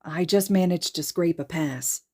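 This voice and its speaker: A woman voicing a timid, gentle teenage schoolboy in a story, with a hesitant stammer. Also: fairly low pitch, unhurried. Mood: nervous, kind.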